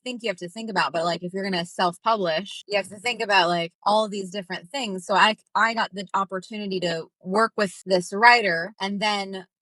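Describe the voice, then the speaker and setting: A woman's voice: medium pitch, fairly even intonation, brisk, few pauses, a bit bright, dry recording, low-quality recording. A young woman from Minnesota, a ghostwriter guest, who talks casually and rambles with many fillers and restarts. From Minnesota, United States. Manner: rambling, filler-heavy, acts out quoted voices. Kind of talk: casual interview answers.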